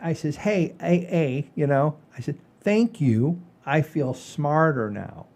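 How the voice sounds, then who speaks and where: A man's voice: fairly high pitch, lively intonation, slow pace, vocal fry, darkish tone. A man hosting a comedy podcast, chatting casually and telling anecdotes in a rambling, repetitive, folksy way. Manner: rambling, repeats himself, folksy "I says".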